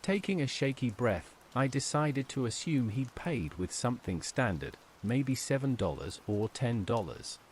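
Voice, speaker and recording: Man narrating horror with very animated pitch. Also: a little slow, few pauses, slight background noise. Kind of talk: dramatic scripted first-person horror narration.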